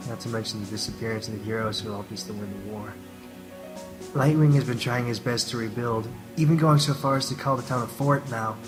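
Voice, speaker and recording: A man's voice: mid-range voice, close to an everyday voice, rough recording. Man voicing a gloomy timid dragon over music. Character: gloomy, timid, earnest young male dragon. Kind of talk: radio drama monologue and dialogue. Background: loud music bed.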